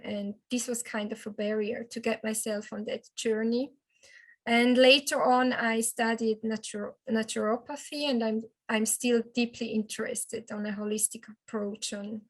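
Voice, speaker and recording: A woman with a Swiss German accent and a slightly high voice tells her story as a health and wellness coach. She is earnest and halting, often restarting her sentences. Swiss German is her first language. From Switzerland. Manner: earnest, with frequent restarts and hesitations. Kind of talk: personal testimonial.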